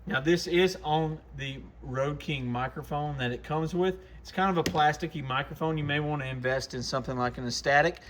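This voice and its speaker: A man's voice: a little high, brisk, hardly any pauses, very noisy. A man hosting an informal, plain-spoken product demonstration for a video channel, explaining things casually as a shop owner.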